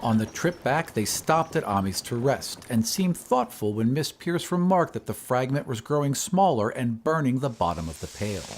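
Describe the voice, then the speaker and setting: A man's voice: medium pitch, big pitch swings. Male horror narrator over noisy ambience. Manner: measured, literary storytelling. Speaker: narrator of an audio drama podcast. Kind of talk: scripted dramatic narration of a horror story. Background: ambient sound effects.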